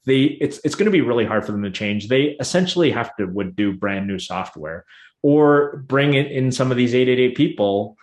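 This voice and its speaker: A man's voice: mid-range voice, lively intonation, normal speed, echoey room. A man co-hosting a podcast, talking casually and bluntly with strong opinions. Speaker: podcast co-host.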